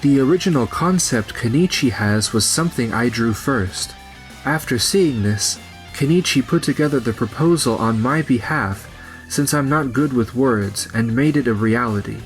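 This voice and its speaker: A man's voice: mid-range voice, normal speed, soft background music, lightly acted, poor audio. A man playing a modest, earnest young car designer in a manga dub. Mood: earnest, polite. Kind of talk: anime-style manga dub acting.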